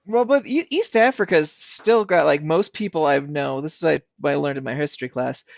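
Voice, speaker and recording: Man, young adult, gravelly, hesitant, self-correcting, dull dry podcast mic. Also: a little high, moderate pace, dry recording. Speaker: podcast co-host. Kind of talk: casual chat.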